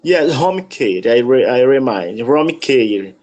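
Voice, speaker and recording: A man with a Brazilian Portuguese accent who speaks Portuguese natively, halting and searching for words as a student in an online English lesson over a video call. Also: medium pitch, lively intonation, a little mellow.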